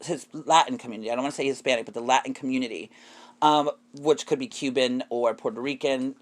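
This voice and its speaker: A man's voice: medium pitch, fast. A man in a casual, opinionated podcast discussion, telling things in a rambling way and correcting himself as he goes. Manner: rambling, self-correcting storytelling.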